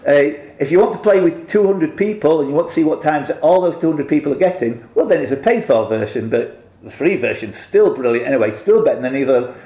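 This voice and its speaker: A man's voice: on the high side, expressive, fast talker, dark tone. A middle-aged or older man speaking casually as a quiz host, chatty, jokey and rambling. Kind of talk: casual unscripted introduction and player interviews. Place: quiet room in a pub, indoors. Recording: echoey small room.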